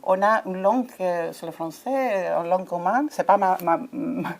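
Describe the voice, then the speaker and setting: A woman's voice: fairly low pitch, moderate pace. A woman speaking French as a second language, giving an interview at a conference in a hesitant way, with many fillers and restarts. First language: not French. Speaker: ambassador of a coaching federation.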